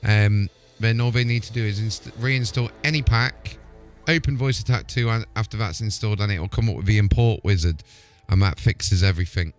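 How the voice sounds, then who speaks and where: A man's voice: lowish voice, a little fast, faint music behind. A man hosting a live gaming stream, talking casually as he plays and troubleshoots.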